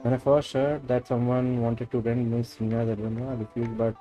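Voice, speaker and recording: A man with an Indian accent and a mid-pitched voice streams a game. He comments casually and mixes Hindi with English over background music. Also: little pitch movement, fairly quick, dark tone, lo-fi sound. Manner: switches between Hindi and English. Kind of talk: casual gameplay commentary, reading game text aloud.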